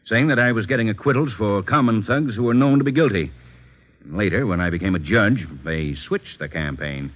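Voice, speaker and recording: An actor playing an earnest, dignified older politician and former judge, speaking gravely and deliberately in an old radio drama. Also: male voice, on the low side, close to an everyday voice. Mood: grave, candid. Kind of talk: 1940s radio acting. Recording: dull old radio broadcast recording.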